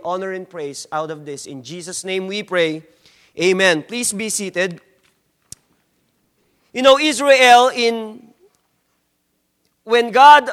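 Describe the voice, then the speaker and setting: A man from the Philippines with a strong Filipino accent, preaching a slow, emphatic sermon as a guest preacher and reading scripture. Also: high-pitched, a bit bright, big-room sound. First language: not English.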